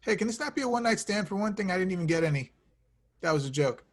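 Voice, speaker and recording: A man reading the part of an awkward, eager, insecure young man in love, halting and sheepish, in a screenplay table read. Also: high voice, animated.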